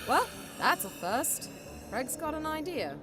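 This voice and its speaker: A woman's voice: high-pitched, very animated, measured pace, over music. A woman playing a bossy, feisty wizard ghost-hunter in a radio drama, sardonic and exclamatory. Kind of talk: fan radio drama acting.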